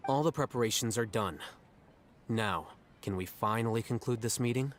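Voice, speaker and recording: A man voicing a businesslike, slightly impatient adult man in an anime-style game, measured and dry. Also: medium pitch, faint music behind, natural voice.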